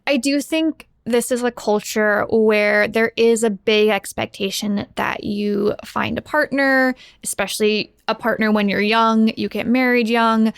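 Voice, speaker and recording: Creaky-voiced American woman in her thirties. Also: on the high side, fairly even intonation, a little slow, hardly any pauses. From Seattle, United States. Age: 30s. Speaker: podcast producer and guest. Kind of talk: conversational interview.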